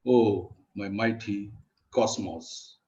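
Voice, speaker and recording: A man reciting poetry solemnly and emphatically in short, halting phrases, over online call audio. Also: on the low side, fairly even intonation, darkish tone, dull audio, slight background noise. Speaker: poet reciting at an online event.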